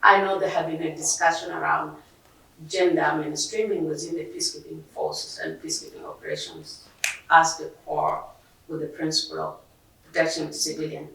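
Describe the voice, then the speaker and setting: Earnest, gravelly-voiced woman from South Sudan. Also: sits low, animated, moderate pace, a bit bright, far from the mic, a little hiss or hum. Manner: earnest, hesitant, with restarts and small laughs. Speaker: graduate student asking a question. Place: library event room. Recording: very echoey room, voice from afar.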